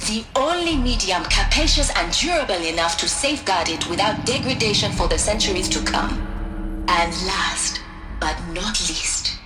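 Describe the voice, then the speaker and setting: A woman's voice: medium pitch, lively intonation, normal speed, lightly acted. A poised archivist, voiced by a woman, delivers a formal address in careful, articulate sentences. Her voice echoes in a roomy space with music underneath. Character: poised female archivist giving a formal welcome. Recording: roomy sound with music behind.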